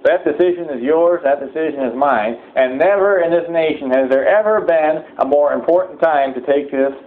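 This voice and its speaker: A man preaching a sermon-like address in a solemn, exhorting manner, with halting hesitations as he goes. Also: a little high. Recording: thin phone-like sound, clear background noise.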